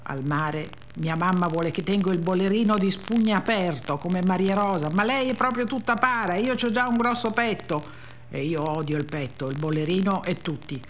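An actress reading a memoir as a blunt, resentful female narrator, with deadpan, childlike run-on phrasing. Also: lowish voice, slight background noise, lightly acted. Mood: sullen, defiant. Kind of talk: dramatic reading of a first-person memoir.

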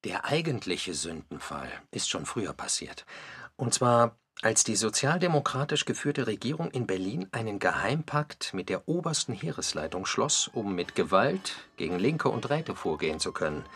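A man reading scripted narration for a radio feature, with a slightly husky voice. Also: lowish voice, big pitch swings, normal speed, a bit bright, full character voice.

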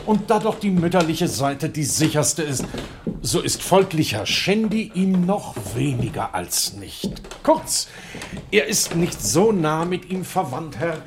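Wry, animated clergyman, faintly noisy recording. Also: a little high, unhurried. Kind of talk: comic literary radio play acting.

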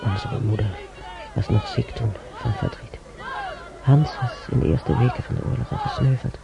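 An actor playing an elderly, weary man in a radio play, musing to himself haltingly with sighs and mutters in a gravelly voice. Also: mid-range voice. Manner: halting, muttering, drifting into whispers. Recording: dull recording with faint noise.

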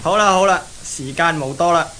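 An actor playing a brisk, businesslike man in a 1970s Cantonese radio drama. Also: a little high, over a noisy crowd, close to an everyday voice. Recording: hissy, noisy 1970s radio recording.

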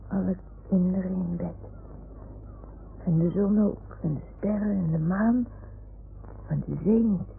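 A woman playing a wistful, stubborn little boy in a radio play, speaking with childlike earnestness and a creaky edge. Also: medium pitch. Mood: dreamy. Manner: childlike, earnest, naive storytelling. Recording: tinny, faintly noisy 1960s-70s radio recording.

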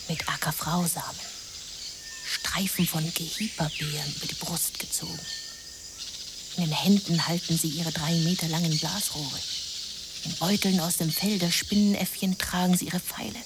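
A woman telling a lurid jungle expedition tale in a radio drama. Her voice sits low and turns theatrical, often sinking into whispers. Noisy ambience runs behind her. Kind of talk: literary radio play narration.

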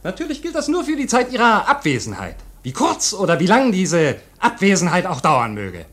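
An actor playing a sly, smug deputy bank director in a German radio play, over-polite and needling. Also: male voice, high voice, huge pitch swings, moderate pace, fairly bright tone, full character voice. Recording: echoing room sound.

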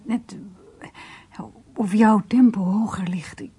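An actress playing a soft, admiring female companion in a radio drama, tender and slightly unsure, with a breathy, husky voice. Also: mid-range voice, slow. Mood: unsure, pleading. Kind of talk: intimate radio drama acting.

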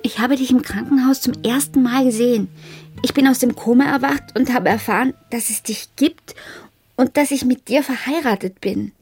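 High-pitched earnest woman, amnesiac wife. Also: darkish tone, soft background music. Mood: sober, doubtful. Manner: measured, matter-of-fact, stilted formal phrasing. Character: amnesiac wife and job seeker, earnest, probing, persistent. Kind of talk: modern radio drama acting.

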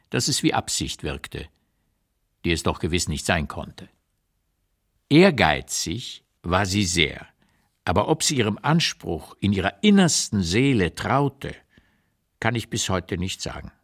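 An actor playing a blunt 85-year-old writer looking back on his life, reading memoir notes in a measured, deliberate, emphatic way for a literary radio play. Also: low voice, sing-song, fairly bright tone, slightly rough, heavily acted. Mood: observant, candid.

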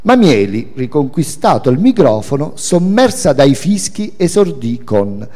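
Male literary reader, mellow timbre, dramatic storytelling, recorded in a reverberant hall. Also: fairly high pitch, big pitch swings, measured pace. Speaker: author reading from own memoir. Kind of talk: expressive literary reading aloud. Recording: echoey hall with music. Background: music.

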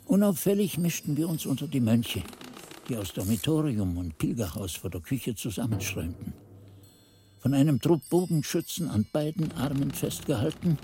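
An actor playing a grave monk chronicler, narrating a German radio drama in a measured, literary and suspenseful way. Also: male voice, medium pitch, big pitch swings, warm tone, breathy edge. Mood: tense. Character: grave monk chronicler recalling dark past events.

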